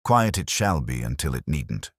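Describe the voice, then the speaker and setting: A man voicing an incisive, commanding English detective in an audiobook, with measured, clipped delivery. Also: a bass voice, very animated, no echo, studio quality, exaggerated voice. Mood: cool, pointed.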